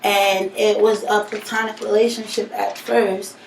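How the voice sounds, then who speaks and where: A woman's voice: medium pitch, little pitch movement, unhurried, vocal fry, over a noisy crowd. A woman, talking casually and earnestly to the camera. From New York City. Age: 20s. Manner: earnest, heartfelt, talks over her partner. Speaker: aspiring musician. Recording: echoey room from far off with faint noise.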